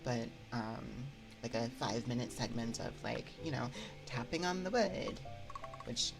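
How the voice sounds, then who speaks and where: A woman streamer talks casually to her viewers in a low voice edged with vocal fry. She takes her time, hesitating and rambling in a giggly manner as she speaks into a binaural microphone. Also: animated, a little slow. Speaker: streamer hosting an ASMR livestream. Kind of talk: casual livestream chat with viewers. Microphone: binaural 3Dio ASMR microphone. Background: music.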